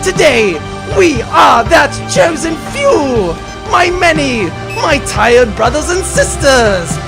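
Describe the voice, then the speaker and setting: A man's voice: very high-pitched, very animated, takes it slowly, gravelly, over music, pitched above the performer's own voice, exaggerated voice. A man playing a grandiose, theatrical villain baron in a comic audio drama, declaiming like a pompous orator. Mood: grandiose, rousing.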